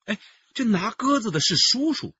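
An actor playing an opinionated, argumentative detective with a husky voice in a radio drama. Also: male voice, high voice, very animated, normal speed, sharp tone, muffled recording, heavily acted. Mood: assertive.

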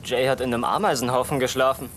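A man's voice: medium pitch, fairly even intonation, fast, close to an everyday voice. A young man in a German film dub, playing an awkward, fretful, sarcastic holidaymaker in voice-over and banter. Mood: dry, wry. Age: young adult. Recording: music behind. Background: music.

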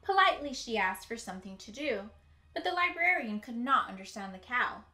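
A young woman reading a picture book aloud as a teacher to children, with deliberate, emphatic storytelling. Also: fairly high pitch, big pitch swings, sharp tone. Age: young adult. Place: indoor room with bookshelves. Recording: roomy indoor echo.

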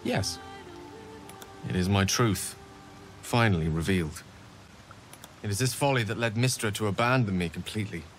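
An actor voicing an eloquent, theatrical human wizard in a video game, speaking in articulate, wordy, confessional dialogue. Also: male voice, on the low side, normal speed, pauses often, faint music behind, lightly acted. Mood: grave confession.

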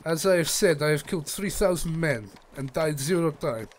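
A crude, bragging Russian squad leader, played by a man over game chat barks orders in broken English with a thick put-on Russian accent. The voice sits high for a man. A faint hiss runs under the headset mic. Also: animated, moderate pace, lightly acted. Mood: boastful. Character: crude boastful Russian male squad commander.

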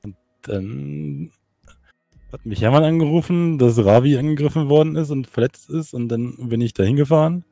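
A man's voice: mid-range voice, normal speed, vocal fry, warm tone, no room sound, studio quality. A man playing an online roleplay game, casually telling stories and bantering in character over voice chat.

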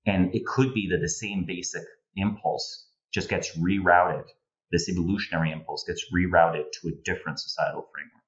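Low-voiced Slovenian-accented man, slightly dull audio. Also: steady tone, moderate pace. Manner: discursive, explanatory. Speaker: guest political analyst. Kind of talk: conversational analysis in an interview. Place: home room with bookshelves, indoors. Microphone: earphone headset on video call.

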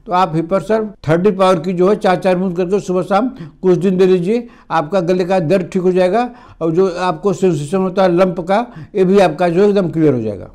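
A man's voice: sits high, moderate pace, few pauses, a little mellow. An older man from India, a homeopathy doctor, explaining remedies straight to camera in a plain, repetitive teaching manner, recorded on a lapel mic in a studio. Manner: addresses viewers as friends, repetitive explanatory phrasing. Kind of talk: direct-to-camera health lesson. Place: indoor studio before a green screen.

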